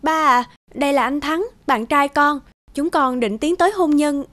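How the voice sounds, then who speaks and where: A woman voicing a sweet, sensible young girlfriend in a cartoon, gentle and polite. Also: high-pitched, normal speed, dry recording. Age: young adult. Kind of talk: cartoon voice acting.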